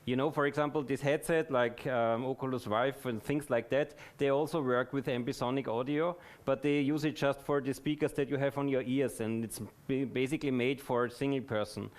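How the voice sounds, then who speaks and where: Mid-pitched man explaining at a talk. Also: steady tone, a little fast, few pauses. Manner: explanatory, methodical. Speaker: presenter. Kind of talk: live technical talk.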